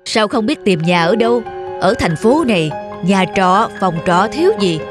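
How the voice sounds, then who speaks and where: A woman's voice: mid-range voice, expressive, normal speed, over music, close to an everyday voice. A woman voicing a stern middle-aged father in a cartoon drama, firm and matter-of-fact.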